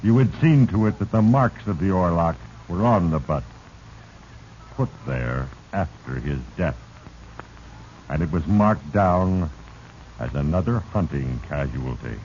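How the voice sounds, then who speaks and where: Slow, deep, sinister radio Fate. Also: male voice, expressive, a little mellow. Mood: ominous recounting. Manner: deliberate, heavy-handed, accusing. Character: Fate, sinister omniscient male narrator manipulating doomed men. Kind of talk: 1940s radio drama narration in second person. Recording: muffled, noisy 1940s radio recording.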